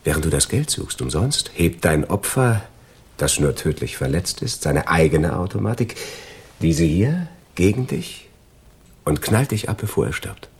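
An actor playing a cold, gloating criminal in a radio crime drama, taunting and deliberate in his delivery. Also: low-pitched, sing-song, husky, exaggerated voice. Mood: coldly gloating. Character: cold, gloating male criminal double-crossing his accomplices.

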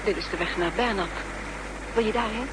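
An actress playing a worried, urgent companion of fugitive spies in a 1960s radio drama, with agitated, stammering delivery. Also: female voice, medium pitch, brisk, natural voice. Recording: humming, hissy 1960s radio recording.

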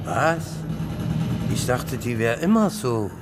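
An actor playing a slow-witted male neighbour in a radio drama, halting and stammering, evasive and defensive. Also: medium pitch, sing-song. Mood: indifferent, slow. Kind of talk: German radio drama acting, naturalistic dialogue. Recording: faint music behind the dialogue.

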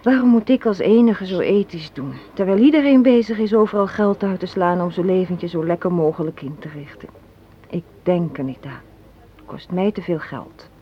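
A woman's voice: fairly low pitch, normal speed, close to an everyday voice. Muffled recording of a sardonic young woman played by an actress. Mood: challenging, cynical. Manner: argumentative, sardonic, articulate. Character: assertive, cynical young woman arguing against a marriage proposal. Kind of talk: radio play acting. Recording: muffled, hissy old radio play recording.

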